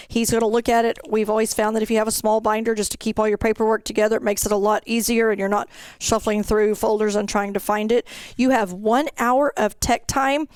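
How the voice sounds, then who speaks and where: Clear, higher-pitched woman giving instructions. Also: no room sound. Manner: instructional, step-by-step explaining. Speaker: contest organizer presenting an information session. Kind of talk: informational presentation to directors.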